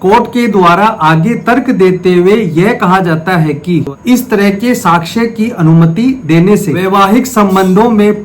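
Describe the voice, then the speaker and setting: A man's voice: high voice, slight background noise. A man giving a formal, methodical explanatory legal lecture, restating key points as he goes. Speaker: legal explainer, video host.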